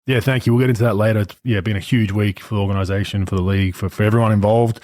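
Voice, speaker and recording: A man in his late thirties hosting a podcast, chatting about sport in a relaxed, loose way. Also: on the low side, rapid-fire, dry recording. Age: 30s. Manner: relaxed, chatty, frequent restarts. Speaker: podcast host. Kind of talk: casual sports chat.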